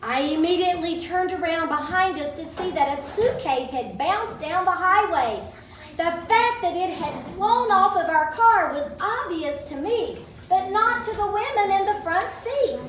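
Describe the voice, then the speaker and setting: A woman's voice: extremely high pitch, animated, unhurried. A woman reading a childhood story aloud to children at a live event, with dramatic storytelling delivery. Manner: dramatic, acts out quoted speech. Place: large room at a live event. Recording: roomy, distant microphone, faint background noise.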